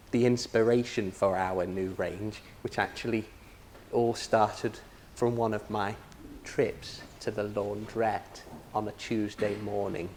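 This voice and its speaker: A man playing an earnest, bumbling model train company man who presents in a halting way, in a mock corporate comedy. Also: lowish voice, darkish tone, slight background noise. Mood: earnest, awkward.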